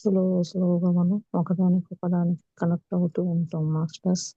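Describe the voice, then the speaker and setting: A woman with a Telugu accent leading a guided meditation as a teacher, calm and unhurried, with long pauses. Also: on the low side. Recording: muffled, echoey room recording.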